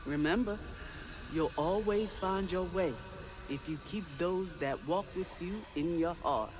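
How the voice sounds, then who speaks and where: A gentle, ancient-seeming creature of game folklore. The voice is high for a male and drawn out very slowly. It sounds solemn and wise, with music underneath. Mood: solemn, kindly. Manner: solemn, deliberate. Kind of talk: video game voice acting.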